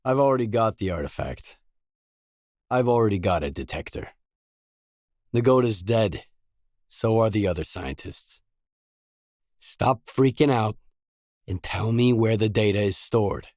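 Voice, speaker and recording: An actor playing a guarded, dry, tough stalker mercenary in a video game, with terse, blunt delivery. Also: male voice, fairly low pitch, animated, measured pace, long pauses, darkish tone, dry recording. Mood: calm, matter-of-fact, then angry, confrontational. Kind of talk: video game voice acting, isolated dialogue lines.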